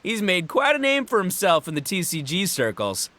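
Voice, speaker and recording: A man's voice: high-pitched, wildly swooping pitch, normal speed, pushed and bright, a little hiss or hum, exaggerated voice. A man voicing a brash, boastful young gang boss and rocker in a video game, with cocky, boisterous, slangy delivery. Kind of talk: animated video game voice acting.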